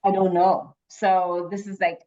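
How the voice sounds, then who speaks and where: A woman's voice: lowish voice, little pitch movement, a little slow, dark tone. A woman hosting a quiz, reading questions aloud and moderating informally. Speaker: quiz host. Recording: dull lo-fi online call audio.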